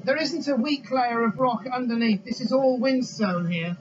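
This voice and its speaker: A middle-aged man, a geology expert, explaining things unscripted outdoors in a projected voice. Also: extremely high pitch. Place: outdoors beside a roaring waterfall. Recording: dull sound, loud rushing water noise.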